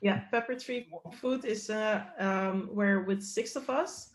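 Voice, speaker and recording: A woman's voice: mid-range voice, slow pace. A woman from the Netherlands, a company co-founder, talking as a guest in a casual interview and telling stories with laughter. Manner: laughing, lively storytelling. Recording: roomy echo.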